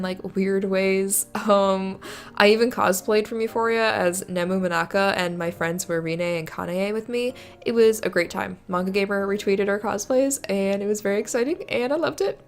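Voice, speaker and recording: A young adult woman giving casual, informal video commentary on games in a chatty, enthusiastic way. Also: medium pitch. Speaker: video creator. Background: background music.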